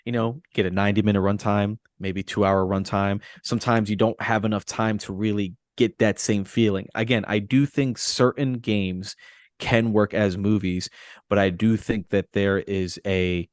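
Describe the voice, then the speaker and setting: A man with a fairly low voice co-hosts a podcast. He speaks conversationally, explaining his view and restating it for emphasis. The recording is dry, with little sense of the room. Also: fairly even intonation, normal speed, no room sound. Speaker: podcast co-host. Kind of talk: casual, conversational discussion.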